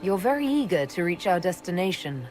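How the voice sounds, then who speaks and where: A woman's voice: lowish voice, big pitch swings, a little fast, a little mellow, faint music behind. An actress playing a blunt, skeptical woman adventurer in a fantasy game, talking in a plain, wry way.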